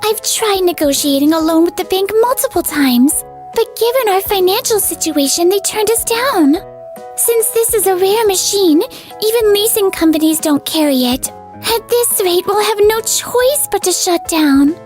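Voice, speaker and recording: A woman playing an earnest, anxious young factory manager in a manga dub, polite and expressive. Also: very high voice, moderate pace, soft background music. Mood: worried. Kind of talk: scripted manga dub acting.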